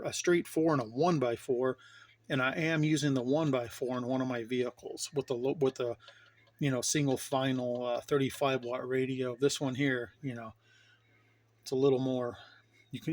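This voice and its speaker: Casting: middle-aged male hobby vlogger, mid-pitched, informal, rambling show-and-tell delivery. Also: fairly even intonation, normal speed. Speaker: hobbyist vlogger showing his radio gear. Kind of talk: casual show-and-tell vlog.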